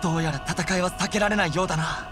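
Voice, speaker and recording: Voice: mid-range voice, steady tone, fast talker, lightly acted. An actor playing an earnest, brave young male army captain in a Japanese video game, fervent and loyal in manner. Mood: resolute, rallying. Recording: loud music over battle dialogue.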